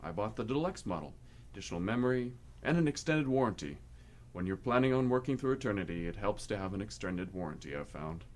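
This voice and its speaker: Low-voiced, easygoing God, played by a man. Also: sing-song, fast, darkish tone. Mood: mild, chatty. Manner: casual, hesitant, conversational. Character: God as an easygoing, mildly fussy, apologetic businessman. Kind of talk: comedic radio play acting.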